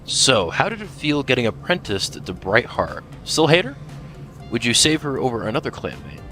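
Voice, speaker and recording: A man voicing a playful tomcat warrior who reads out fan questions as announcer, teasing, goofy and deadpan by turns. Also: mid-range voice, moderate pace. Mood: probing. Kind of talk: improvised in-character fan Q&A. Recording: music behind the voice.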